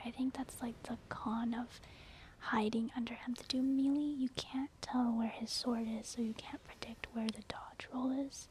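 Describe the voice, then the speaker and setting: Whispering high-voiced woman doing ASMR. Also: a little hiss or hum. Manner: soft-spoken, whispering. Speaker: gaming video host. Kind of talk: whispered ASMR game commentary.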